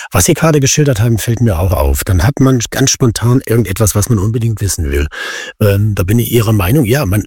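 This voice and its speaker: Husky, low-voiced male interviewer. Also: a little mellow, no echo, clean studio sound. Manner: formal, courteous questioning. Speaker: interviewer and journalist. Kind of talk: radio interview.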